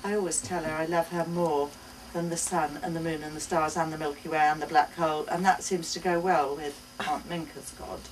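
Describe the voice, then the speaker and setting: A woman giving an expressive live reading of a first-person short story. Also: low voice, fairly quick. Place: indoors at a bookshop book launch. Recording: distant mic, noisy live bookshop event.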